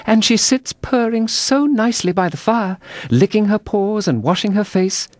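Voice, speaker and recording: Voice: mid-range voice, lively intonation, moderate pace, no room sound, voice pushed up, lightly acted. A narrator voicing a polite, chatty little girl in a storybook, with a gushing, earnest manner. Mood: fond, dreamy.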